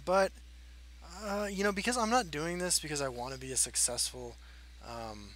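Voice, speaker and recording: Bright-voiced man chatting casually. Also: a little high, moderate pace, a little hiss or hum, low-quality recording. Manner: rambling, frank, many fillers. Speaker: channel host. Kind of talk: casual Q&A chat.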